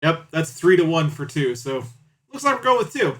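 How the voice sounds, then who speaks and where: A man's voice: on the high side, very animated, normal speed, fairly bright tone. A man hosting a casual game livestream, chatting and bantering playfully with viewers as he reads out choices. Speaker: livestream host reading out game and chat choices. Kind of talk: casual stream chat and commentary on a visual novel.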